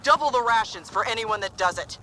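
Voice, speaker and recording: Casting: bullying gang boss villain for a sci-fi game, very high strained pitch, noisy backdrop. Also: male voice, lively intonation, a little fast. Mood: menacing. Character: irate bullying crime boss barking at underlings. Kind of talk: video game villain acting.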